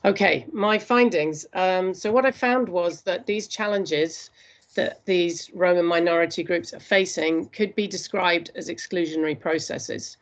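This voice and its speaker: A woman presenting her postgraduate dissertation research in an earnest, steady academic talk, with frequent hesitations. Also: on the low side, unhurried.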